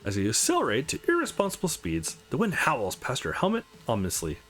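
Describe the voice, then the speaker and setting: A man reading a visual novel aloud as a let's play host, adding his own commentary in an animated way. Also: medium pitch, quick pace, soft background music. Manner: animated, acts out character lines while reading.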